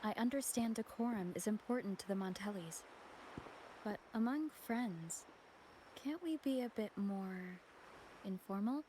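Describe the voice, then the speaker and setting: Mellow, soft female game hero by an actress. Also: medium pitch, measured pace, a little hiss or hum, close to an everyday voice, poor audio. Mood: gentle, coaxing. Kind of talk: video game voice acting.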